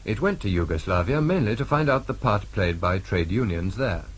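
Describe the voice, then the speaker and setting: A British man reads a scripted radio news bulletin from the mid-twentieth century. His voice is on the low side and distinctly husky. The delivery is formal and measured. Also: animated. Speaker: newsreader.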